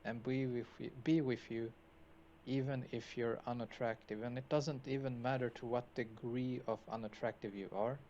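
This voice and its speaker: A young man rambles through a confessional story, dropping "like" everywhere, at an ordinary mid pitch. The audio is dull and lo-fi, with a faint hiss underneath. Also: fairly even intonation, moderate pace, a little mellow. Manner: rambling, casual, full of "like".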